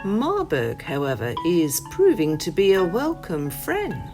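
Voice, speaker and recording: A woman's voice: on the low side, huge pitch swings, unhurried, over music. A woman narrating a scripted village audio play as its storyteller. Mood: measured.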